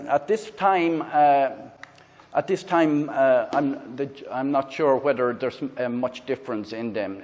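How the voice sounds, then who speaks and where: Halting Irish man reviewing a gadget. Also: medium pitch, darkish tone. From Ireland. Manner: halting, many filler sounds and restarts. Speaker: tech reviewer, channel host. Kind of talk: casual product review and demo. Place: indoors in a room with a fan, then outdoors by a busy road. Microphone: lavalier.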